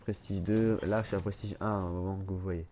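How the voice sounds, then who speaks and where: A man's voice: low-pitched, steady tone, fairly quick. A man with a blocked, stuffy nose giving casual gameplay commentary, rambling and hesitant, full of fillers and restarts. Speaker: gaming YouTuber.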